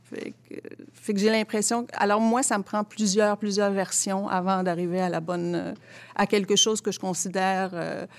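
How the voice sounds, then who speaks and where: A woman with a Quebec French accent giving reflective, conversational interview answers, expressive and often searching for her words. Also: medium pitch, moderate pace. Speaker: interview guest, theatre translator.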